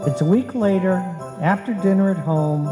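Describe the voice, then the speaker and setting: An actor playing a wry, deadpan husband who narrates his own domestic comedy in old-time radio style. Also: high voice, normal speed, over music, natural voice. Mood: wry narration. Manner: dry, wisecracking. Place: on stage in a live performance. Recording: live hall recording, long reverb.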